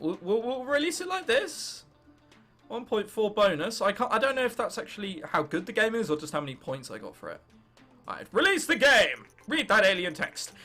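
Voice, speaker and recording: Bright, high-pitched young English gamer. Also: male voice. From England. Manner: jokey, sweary, self-interrupting. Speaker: gaming video host. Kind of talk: casual let's play commentary, reading game text aloud.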